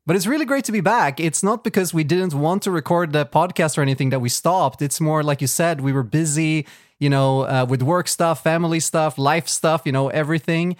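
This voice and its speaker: A Swedish-native man co-hosting a podcast, talking in a loose, rambling way full of fillers and restarts. His voice sits a touch high for a man, and the recording is close and dry, with no room sound at all. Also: fairly quick, dry recording. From Sweden. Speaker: podcast co-host. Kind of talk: casual chat.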